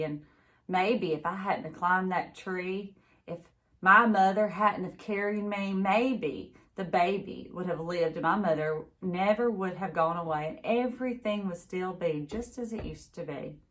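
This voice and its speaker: A woman with a slightly low voice narrates a story aloud. Her delivery is expressive, in the way of someone reading a children's novel to a class.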